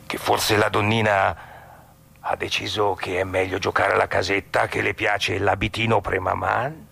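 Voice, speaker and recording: Male actor playing blunt mocking outsider, very husky, bright edgy tone, slow delivery, theatrical irony, stage monologue. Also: low-pitched, exaggerated voice. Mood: sardonic probing. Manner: sneering, theatrical, drawn-out irony with mocking asides. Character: sardonic, blunt outsider man mocking a married couple.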